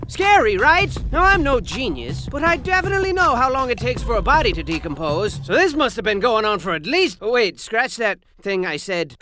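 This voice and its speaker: A zany, childishly silly male storyteller for a cartoon game. The high-pitched voice swoops theatrically from mock-grand, sing-song pronouncements down to muttered asides, with music playing behind it. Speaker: narrator. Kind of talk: comedic storybook narration for a cartoon video game.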